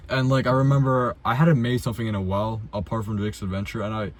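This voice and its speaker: A man's voice: lowish voice, flat delivery, fast. A young adult man chatting casually and unscripted as a video host, blunt, self-deprecating and full of slang and swearing. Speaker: amateur filmmaker, video host.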